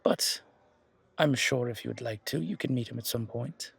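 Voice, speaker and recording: A man's voice: mid-range voice, moderate pace, gravelly, a bit bright, slightly rough, heavily acted. A man playing a genial, courteous museum curator in a roleplay audio drama, effusive and given to repeating himself. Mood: warm, welcoming. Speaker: voice actor.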